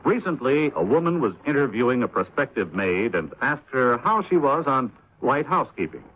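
A man's voice: medium pitch, very animated, normal speed. A man with an American accent delivering scripted 1950s radio narration as an announcer. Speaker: announcer of a public information talk. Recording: dull 1950s radio broadcast, faint noise.

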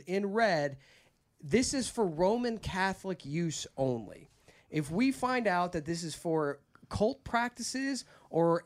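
High-voiced rambling male podcast host. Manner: rambling, animated storyteller who breaks into a squeaky put-on voice. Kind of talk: casual storytelling chat.

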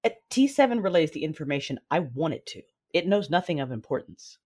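A woman playing a conflicted, commanding Sith noblewoman in an audio drama, measured and controlled, with dramatic delivery. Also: low voice, big pitch swings, darkish tone, husky, exaggerated voice. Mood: firm, commanding. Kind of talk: first-person audio drama narration and dialogue. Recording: music behind the voice.

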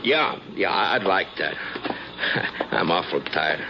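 A lowish male voice plays a pathetic, lovelorn American drifter, dazed and childlike. He stammers haltingly in 1950s radio style, muffled under clear hiss and noise. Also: animated, heavily acted. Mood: weary longing. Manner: slow-witted, halting, stammering. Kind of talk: 1950s radio drama acting. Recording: muffled, noisy vintage radio recording.

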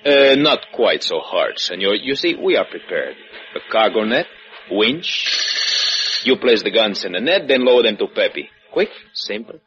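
An actor playing a suave, menacing revolutionary gunrunner with a Spanish accent, courtly and faintly amused in a 1950s radio adventure. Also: male voice, on the low side, big pitch swings, unhurried, fairly bright tone. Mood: mocking politeness. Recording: dull 1950s radio recording, music behind.

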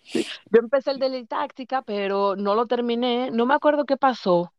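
A woman's voice: a little high, brisk, clear, resonant tone, lo-fi sound. A middle-aged Dominican woman being interviewed, casually recalling her past in a rambling way, repeating names and laughing often. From Dominican Republic. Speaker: guest.

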